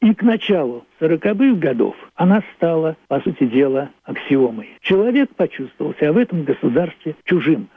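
Elderly male, reflective radio monologue, very lively intonation, emphatic repetitions, dry narrow-band sound. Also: a little high, husky, no echo. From Russia, Leningrad. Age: older, 80s. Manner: deliberate, philosophical, emphatic phrasing with repetitions. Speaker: playwright. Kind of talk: reflective radio monologue about own play and history. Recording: thin phone-like band-limited sound.

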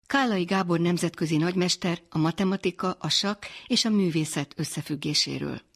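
A woman hosting a radio program, reading scripted links in a clear, measured announcing manner. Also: fairly low pitch.

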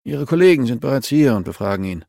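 A man's voice: mid-range voice, very animated, fast, gravelly, dark tone, no echo, clean studio sound, voice pushed up. A man voicing a formal hospital doctor in an audiobook, explaining things in a reassuring way.

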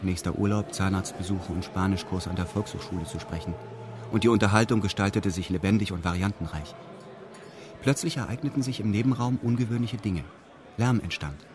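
Actor as a low-voiced, detached male narrator. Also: natural voice. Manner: formal, detached, meticulous. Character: dry, meticulous male first-person narrator. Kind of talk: scripted radio play narration in reported speech.

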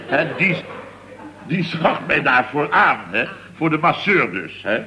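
An actor playing a flustered, indignant middle-aged building boss, telling things in a long-winded, exasperated way in a comic radio serial. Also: male voice, fairly high pitch, sing-song, unhurried, pushed and bright, full character voice. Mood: exasperated storytelling. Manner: long-winded, exasperated storytelling peppered with verbal tags. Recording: old radio recording with faint noise.

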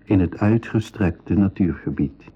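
A man's voice: low voice, a little slow, husky. A man narrating a scripted adventure story for school radio, on a slightly dull 1980s recording.

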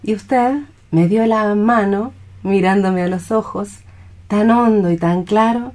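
An actress playing a shy, lonely, childlike young nurse in a radio play, earnest and naive. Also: female voice, medium pitch, takes it slowly, darkish tone, natural voice. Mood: tender, happy.

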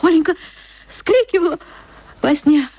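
A woman reading literary fiction as an actress narrator, expressive and theatrical, moving between hushed and swelling phrases. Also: very high-pitched, a little slow, no room sound.

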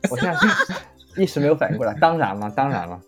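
Playful, lively-pitched guest bantering. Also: male voice, quick pace, faint music behind. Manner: playful, teasing. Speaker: voice actor guest. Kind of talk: casual banter.

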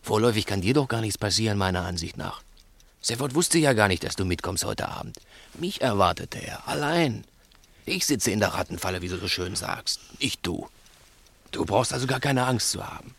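An actor in a 1960s German radio play playing a cunning, domineering crook who is menacing, insistent and patronising. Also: male voice, fairly low pitch, sing-song, moderate pace, vocal fry, a bit bright, breathy edge, no room sound, heavily acted. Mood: commanding, tense. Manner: insistent, theatrical, probing with rhetorical questions.

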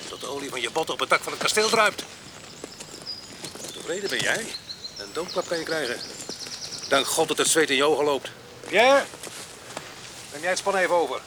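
An actor playing a rough, wild, one-eyed farm boss in a radio play, gruff and mocking as he gives orders. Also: a little high, very animated, moderate pace, long pauses. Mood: fierce. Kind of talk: Dutch radio drama acting. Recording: noisy outdoor farm sound effects behind.

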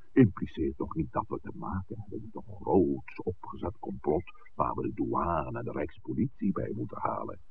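A tinny old radio play recording carries a stern old parish priest. His voice is low and gravelly, with sweeping intonation. He speaks in measured, formal sentences, commanding and protective of his flock. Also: a little hiss or hum, heavily acted. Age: older. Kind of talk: 1960s radio drama acting.

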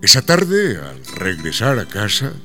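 An actor narrating a radio soap opera as its storyteller, in a scripted style. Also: male voice, lowish voice, huge pitch swings, unhurried, music in the background. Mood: storytelling.

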